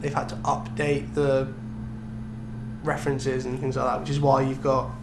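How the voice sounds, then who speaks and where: A man's voice: mid-range voice, monotone, moderate pace. A young British man co-hosting a podcast in a casual, chatty style. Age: young adult. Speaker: podcast co-host. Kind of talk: casual chat. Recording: echoey room with clear hum.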